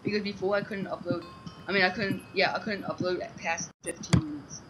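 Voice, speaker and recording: A man's voice: high-pitched, animated, fairly quick, over loud music. A teenage boy chatting casually as he plays a video game with a friend. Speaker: gaming co-player. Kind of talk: casual gameplay chat. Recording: noisy voice chat with clicks.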